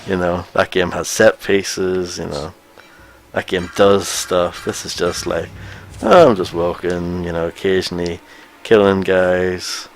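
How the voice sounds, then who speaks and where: A man's voice: sits low, fairly even intonation, measured pace. A man chatting casually as he co-hosts a video game stream, with a wry, bantering manner. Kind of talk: casual chat while playing a video game. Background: video game music.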